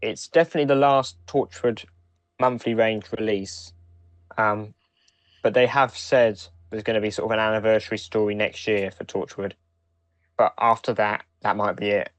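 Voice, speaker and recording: A man's voice: lowish voice, steady tone, moderate pace, no room sound. A man co-hosting a podcast, talking casually as he shares news and thoughts. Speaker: podcast co-host. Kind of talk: casual chat, reading out release news.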